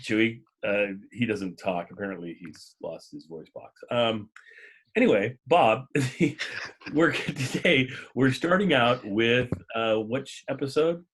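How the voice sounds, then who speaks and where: A man hosting a casual podcast, chatting in a rambling, jokey way with a husky voice. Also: medium pitch, takes it slowly, fairly bright tone. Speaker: podcast host.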